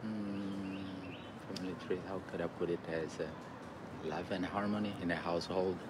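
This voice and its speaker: A man stopped on the street for a quiz, answering in a relaxed, playful way. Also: low voice, moderate pace. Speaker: passerby. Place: outdoors on a street. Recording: street recording with clear noise.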